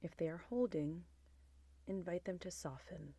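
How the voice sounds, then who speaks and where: A woman guiding a body scan meditation in a soft, gentle, soothing manner, with phrases trailing into a near-whisper. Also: fairly low pitch, moderate pace, slightly rough. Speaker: meditation guide.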